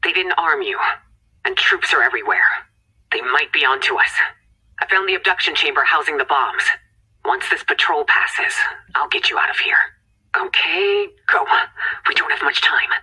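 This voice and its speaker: A woman's voice: low voice, big pitch swings, normal speed, long pauses, bright, forceful tone, husky, heavily acted, low-quality recording. A woman playing an urgent, commanding scientist in a video game, giving terse, tense mission directions. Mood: focused, hurried.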